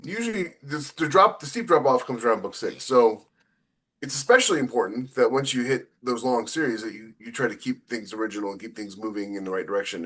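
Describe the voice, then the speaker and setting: A man's voice: mid-range voice, fairly bright tone. Man talking casually, thinking aloud. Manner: halting, thinking aloud, often repeating phrases. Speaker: podcast co-host and novelist. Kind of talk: casual talk about own books.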